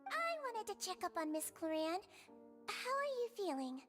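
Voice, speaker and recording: A woman's voice: big pitch swings, moderate pace, a bit bright, exaggerated voice. A woman voicing a small, polite girlish nurse in a video game, with a childlike voice and an earnest manner. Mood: brisk, caring. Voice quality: childlike, high-pitched. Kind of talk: video game character dialogue. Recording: game music behind.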